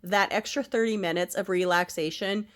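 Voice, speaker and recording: A middle-aged woman with a stuffed-up, nasal voice from a head cold, gently reading oracle cards at home and sharing the messages. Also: mid-range voice, normal speed. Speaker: oracle card reader, channel host. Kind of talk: casual spiritual card reading. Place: at home, indoors.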